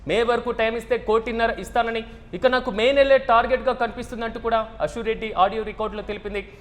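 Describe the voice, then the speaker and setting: A man's voice: very high voice, fairly even intonation, fast. A man anchoring live news with commentary in an emphatic, formal manner that repeats phrases for stress.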